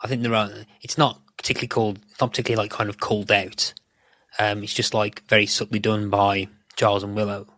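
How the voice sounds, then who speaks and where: A man's voice: on the low side, level tone, fast, a bit bright, no echo. A middle-aged man, chatting casually as a podcast co-host in a rambling, self-correcting way. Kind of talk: casual chat about a TV show.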